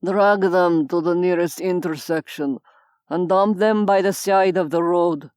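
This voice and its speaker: A woman reading an audiobook, voicing a cold, menacing male crime boss who speaks slowly and threateningly. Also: on the low side, darkish tone, clean tone, no room sound, studio quality, voice pushed down, lightly acted. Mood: cold menace.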